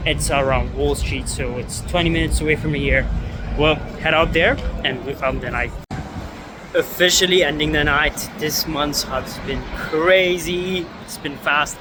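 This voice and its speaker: A young man from Ethiopia, a startup founder, talking casually to camera in a rambling way full of fillers and restarts. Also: a little high. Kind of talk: casual vlog talk to camera. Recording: city street noise behind.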